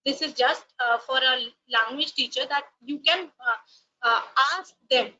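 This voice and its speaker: A woman's voice: sits high, a little slow, pushed and bright, a little hiss or hum. A woman with an Indian English accent, a native Rajasthani speaker, giving a webinar talk with frequent hesitations. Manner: many hesitations, polite and explanatory. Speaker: webinar speaker, language teacher. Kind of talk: lecturing in a webinar. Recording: dull lo-fi online call audio.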